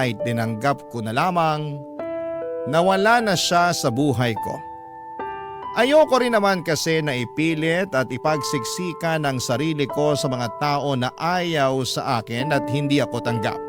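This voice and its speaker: A man narrating a love story as a radio host, in an emotive, deliberate storytelling manner. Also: on the high side. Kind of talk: dramatic narration of a love letter. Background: music.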